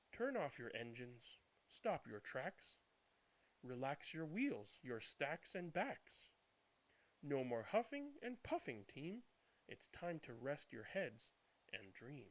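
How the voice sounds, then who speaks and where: Guy reading a kids bedtime book, takes it slow, voice goes up and down a lot. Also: mid-range voice. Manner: animated, deliberate reading with hushed good nights. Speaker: read-aloud channel host. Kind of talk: expressive children's bedtime storybook narration.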